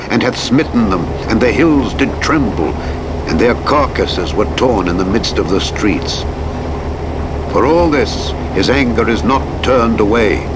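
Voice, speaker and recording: Low male voice, Bible narrator, solemn, measured declamation, played over noticeable truck engine and road noise. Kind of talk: solemn scripture reading. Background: truck simulator vehicle noise.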